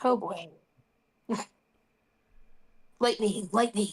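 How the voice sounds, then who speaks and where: A woman with a medium-pitched voice takes part in an online tabletop game. She chats casually and slips between describing her character and speaking for her. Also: drawn-out words, breathy edge. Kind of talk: casual role-play chat.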